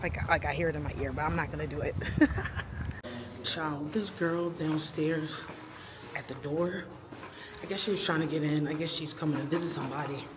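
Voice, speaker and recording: A woman's voice: sits low, steady tone, moderate pace, pauses often, background noise. A woman in her thirties talking casually to the camera in a rambling, chatty vlog, laughing between thoughts. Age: 30s. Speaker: vlogger.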